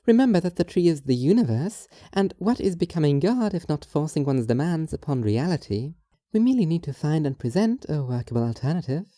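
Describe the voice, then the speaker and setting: Dark-toned, earnest, strange young woman, acted. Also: low voice, very animated, no room sound, clean studio sound. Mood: philosophical, intent. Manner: formal, measured, literal-minded. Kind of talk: audio drama monologue and dialogue.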